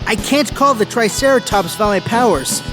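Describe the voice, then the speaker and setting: A woman's voice: fairly high pitch, expressive, moderate pace, over music, close to an everyday voice. A woman playing a shaken teenage male superhero in an audio drama, earnest and hesitant. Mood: worried resolve.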